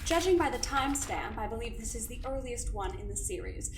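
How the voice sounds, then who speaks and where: A woman playing a smooth, manipulative doctor who interrogates people in a silky, condescending voice. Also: a little high, lively intonation, a little fast, echoey room, background noise, lightly acted. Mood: coolly probing. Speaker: doctor and investigator. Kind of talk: audio drama acting.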